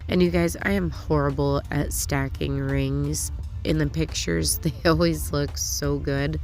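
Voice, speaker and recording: Young female haul host, deep creaky voice, slightly congested, upbeat and chatty. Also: steady tone, a little slow, a little mellow, faint music behind. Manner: chatty, enthusiastic, filler-heavy. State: stuffy, getting over a cold. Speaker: nail channel host. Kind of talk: casual haul review to camera.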